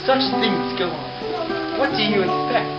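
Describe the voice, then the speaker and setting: A woman playing an exasperated, sharp-tongued young wife in a puppet show, dry and put-upon. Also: mid-range voice, very animated, measured pace. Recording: echoey, dull, loud guitar behind. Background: plucked guitar.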